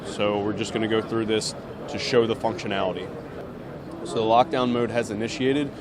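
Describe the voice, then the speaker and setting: Lowish-voiced man demonstrating amid crowd noise. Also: fairly even intonation, normal speed. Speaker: product demonstrator. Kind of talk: unscripted step-by-step product demo. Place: indoor trade show booth. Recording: busy trade show crowd behind.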